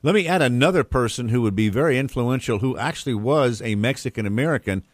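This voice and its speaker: A man's voice: medium pitch, expressive, quick pace, no room sound, clean studio sound. A man hosting a local radio talk show, breezy and joking with guests and callers. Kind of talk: casual radio chat.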